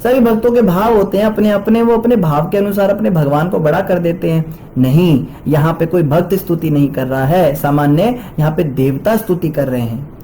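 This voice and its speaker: A man's voice: a little high, moderate pace, warm tone, slight background noise. A man, likely in his twenties, giving a devotional scripture lecture in an explanatory way with rhetorical questions and everyday examples. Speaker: religious teacher giving a scripture class.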